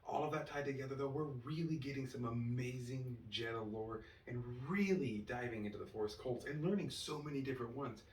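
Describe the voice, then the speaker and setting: A man giving an enthusiastic fan review as a solo host, excited and emphatic, drawing out key words. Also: medium pitch, brisk, few pauses. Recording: roomy, distant mic with faint noise.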